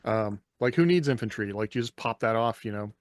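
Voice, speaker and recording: A man's voice: fairly low pitch, expressive, brisk, no echo. Male podcast panelist. Casual, conversational, recorded dry and close with no room sound. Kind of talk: casual chat.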